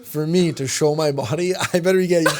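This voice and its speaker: Animated storytelling man, Serbian native. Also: on the high side, fast, over a noisy crowd. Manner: animated storyteller, acts out quotes. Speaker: podcast guest. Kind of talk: casual chat.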